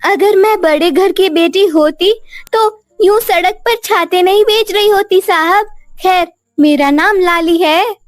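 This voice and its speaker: A woman voicing a poor, sweet young street-seller girl in a plaintive, drawn-out way for an animated moral story. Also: very high-pitched, dry recording, studio quality, voice pushed up. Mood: wistful.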